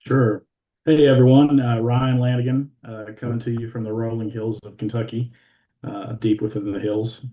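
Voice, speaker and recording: A man in his forties, a graphic designer, introducing himself in a relaxed way with lots of hesitations. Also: mid-range voice, normal speed, echoey room, poor audio. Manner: relaxed, many "uh" fillers. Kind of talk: casual self-introduction.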